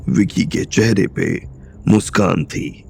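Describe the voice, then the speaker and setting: A man's voice: on the low side, takes it slowly, gravelly, a little mellow, husky, soft background music. A man reading a scripted story narration as an audiobook narrator.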